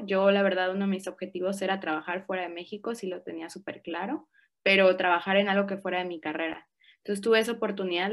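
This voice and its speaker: A young woman with a Mexican accent talks through her experiences in a relaxed, reflective way. Her voice sits at an ordinary middle pitch, and she leans on small fillers as she thinks aloud. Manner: relaxed, reflective, frequent fillers like "o sea" and "como". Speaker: interview guest. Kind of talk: casual interview answers.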